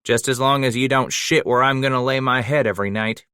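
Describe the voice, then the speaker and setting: A man's voice: mid-range voice, steady tone, a little fast, bright, forceful tone, smooth, dry recording, studio quality, pitched above the performer's own voice, close to an everyday voice. A man voicing a crude, coarse frontiersman who talks in swaggering, drawling slang.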